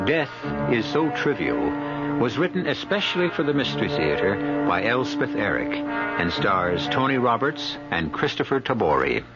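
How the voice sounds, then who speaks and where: An actor playing an urbane, middle-aged host of radio mystery tales, speaking in a measured, wry, theatrical manner. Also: male voice, mid-range voice, very animated. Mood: solemn, portentous. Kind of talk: 1970s radio drama narration. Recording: muffled old radio broadcast, loud music behind.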